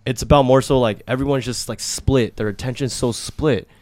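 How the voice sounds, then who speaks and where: A man's voice: medium pitch, fairly even intonation, moderate pace, darkish tone, no room sound. A young man hosting a podcast, chatting with friends in a casual, slangy way, swearing and laughing often. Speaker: podcast host.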